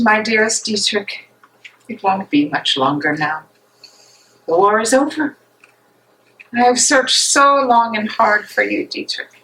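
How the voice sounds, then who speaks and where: A woman's voice: medium pitch, fairly bright tone, natural voice, low-quality recording. A woman playing a tender, grieving fiancée in a dramatic stage reading, earnest and pleading as she longs for her beloved. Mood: tender, searching.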